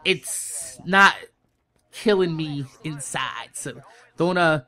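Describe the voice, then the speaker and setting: A man's voice: on the high side, slow. A young American man telling a casual storytime rant as a YouTube host, swearing heavily and repeating himself in exasperation. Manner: profane, exasperated, animated venting with emphatic repetition.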